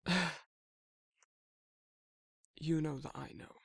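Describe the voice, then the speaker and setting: A man voicing a gruff but caring werewolf in an intimate ASMR roleplay, with a husky Northern English accent and a hesitant, informal way of talking. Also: fairly high pitch, steady tone, takes it slowly, a bit bright, dry recording, studio quality, heavily acted. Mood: awkward, hesitant. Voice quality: husky, gravelly. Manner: hesitant, informal, stumbling over feelings. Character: gruff but caring young male werewolf, awkwardly protective.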